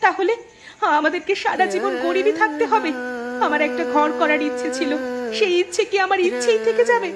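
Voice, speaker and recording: A woman's voice: extremely high pitch, brisk. A woman playing a poor, aggrieved village wife in a cartoon, plaintive and emotional. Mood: sorrowful. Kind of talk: cartoon character dialogue. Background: clear music.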